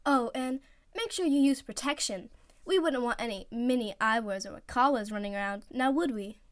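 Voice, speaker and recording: A woman's voice: on the high side, normal speed, natural voice. A woman voicing a teasing, smirking teenage boy teammate, sly and mock-polite, in a story reading.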